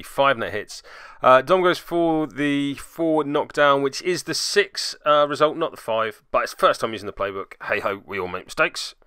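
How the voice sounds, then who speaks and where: Bright, clear-voiced man giving game commentary. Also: medium pitch, no room sound, studio quality. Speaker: tabletop game player and commentator. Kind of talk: voice-over play-by-play commentary of a miniatures game.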